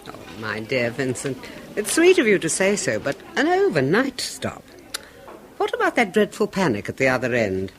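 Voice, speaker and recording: A poised, evasive wife. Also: female voice, deep voice, wildly swooping pitch, normal speed. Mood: amused. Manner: hesitant, faltering speech. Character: poised woman hiding worry, evasive. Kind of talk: 1970s radio drama acting.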